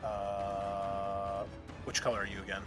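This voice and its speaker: A man acting as game master, narrating a tabletop roleplaying game in a halting, self-correcting way. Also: on the low side, monotone, music in the background.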